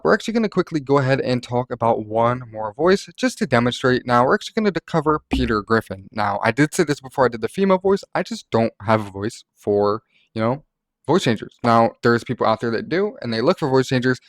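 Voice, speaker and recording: A man's voice: medium pitch, lively intonation, fast, dry recording, clean studio sound. A man narrating a casual gaming tutorial in an informal, rambling way, like a content creator talking to followers.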